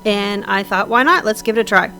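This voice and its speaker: A woman's voice: mid-range voice, fairly quick, sharp tone, soft background music. A woman with a slightly scratchy voice from sinus trouble, chatting casually and rambling with frequent ums and restarts over her game build. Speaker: Sims builder narrating a speed build.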